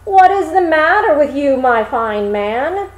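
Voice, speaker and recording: Woman reading as a slow, persuasive storybook butcher. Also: high-pitched, big pitch swings, reverberant, pitched above the performer's own voice, low-quality recording. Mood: concerned. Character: hearty male butcher, obliging, smooth-talking sly trader.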